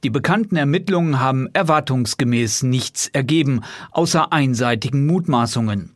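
A man's voice: mid-range voice, lively intonation, normal speed. A man reading out a written statement in a defiant, dismissive tone.

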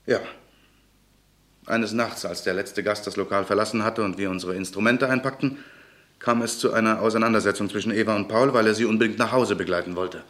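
A man's voice: fairly low pitch, fairly even intonation, fairly quick, fairly bright tone, no echo, close to an everyday voice. An actor playing a brooding man waiting to take revenge, narrating his memories tensely in a radio play. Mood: grim. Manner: tense, grave inner monologue. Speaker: narrator and main character.

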